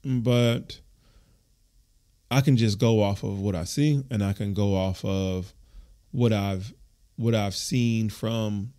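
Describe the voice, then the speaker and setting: A man's voice: fairly low pitch, vocal fry, mellow. A man who works as a personal trainer, hosting a solo fitness podcast, reading from an article and breaking off to ramble, correct himself and encourage listeners.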